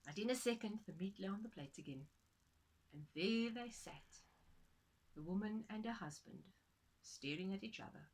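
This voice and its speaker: A woman's voice: medium pitch, animated, moderate pace, pauses often, breathy edge, low-quality recording. A woman storyteller narrating a folktale for children, dramatic and playful in her delivery.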